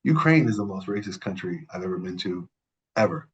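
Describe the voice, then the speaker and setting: A man's voice: deep voice, expressive, fairly quick, highs cut off. A man from the Middle East, a podcast guest, telling personal stories in casual conversation, stressing points by repeating words and often restarting his sentences. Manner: frequent restarts and self-corrections.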